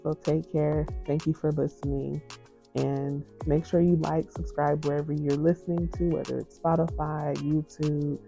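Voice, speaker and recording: A woman podcast host giving a gentle, encouraging faith-based talk in a soft, low, soothing voice. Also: level tone, normal speed, vocal fry, faint music behind.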